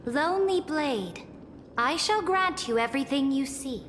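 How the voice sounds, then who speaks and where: A grand, ambitious game ruler, high-voiced and imperious. She speaks slowly and formally, echoing as in a great hall. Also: animated, fairly bright tone, slight background noise. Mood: imperious promise. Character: regal, ambitious female ruler, commanding and grand. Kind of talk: dramatic game acting. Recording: long hall reverb, music behind.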